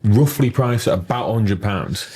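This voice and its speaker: A man's voice: fairly low pitch, lively intonation, normal speed, darkish tone, slight background noise. A man in his thirties, co-hosting a casual business podcast with dry, teasing asides. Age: 30s. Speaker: podcast co-host.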